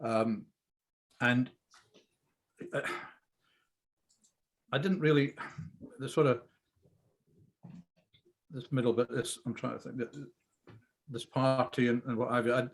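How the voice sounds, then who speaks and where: A man's voice: medium pitch, fairly even intonation, slow pace, pauses often. A man giving informal script feedback in a hesitant, rambling way, often repeating himself. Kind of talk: informal critique discussion.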